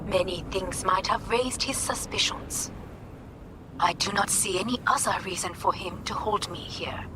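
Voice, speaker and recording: An actress playing a composed, commanding corporate heiress in a video game, speaking in measured and very formal sentences. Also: female voice, medium pitch, noisy background, close to an everyday voice. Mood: composed, restrained. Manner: measured, formal, uncontracted speech.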